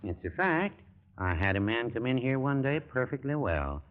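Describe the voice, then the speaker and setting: An actor playing a cautious older small-town druggist in 1940s radio comedy, mild, hesitant and noncommittal. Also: male voice, mid-range voice, normal speed, close to an everyday voice. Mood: gravely ominous. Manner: hesitant, mild, evasive, deadpan. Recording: muffled, narrow-band 1940s radio recording.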